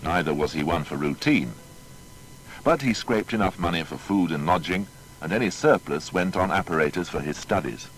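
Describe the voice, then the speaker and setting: A man narrating a scripted dramatised biography in a formal, measured storytelling manner. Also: deep voice, animated, slight background noise, low-quality recording.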